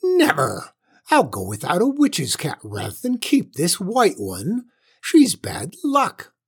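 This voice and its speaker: A woman voicing a bad-tempered, self-pitying old witch in a storybook, shrill and indignant. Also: wildly swooping pitch, slow pace, husky, exaggerated voice. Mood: angry. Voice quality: shrill, shrieking. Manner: snorting, indignant outbursts.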